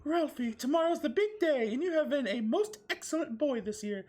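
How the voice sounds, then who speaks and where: A man's voice: very high voice, animated, normal speed, darkish tone, voice pushed up. A man voicing a doting, moralizing mother of a young boy in a comic story reading.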